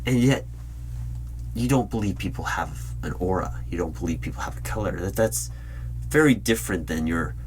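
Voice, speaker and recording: A man performing a spoken ASMR roleplay as a suspicious, probing hiring manager of a secret bureau, asking questions deliberately with dramatic pauses. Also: deep voice, expressive, poor audio. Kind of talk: loud-spoken ASMR roleplay, one-sided interview. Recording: clear hum and keyboard typing noise. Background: typing on a computer keyboard.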